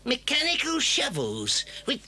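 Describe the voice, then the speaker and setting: An actor playing a mean, obstinate farmer villain in an audio story, snappish and menacing. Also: male voice, extremely high pitch, huge pitch swings, unhurried, bright, forceful tone, a little hiss or hum, full character voice. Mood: scheming, bossy. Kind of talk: 1980s audio story dramatisation.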